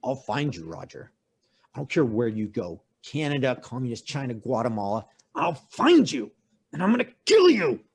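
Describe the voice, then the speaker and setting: A man's voice: medium pitch, wildly swooping pitch, moderate pace, a little mellow, breathy edge, heavily acted. A man playing an intense, desperate and menacing conspiracy believer in a one-act play. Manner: pleading, threatening, intense.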